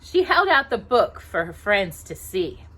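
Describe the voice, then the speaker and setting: A woman reading a story aloud to children in an expressive way, now and then stumbling over words. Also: mid-range voice, unhurried, breathy edge.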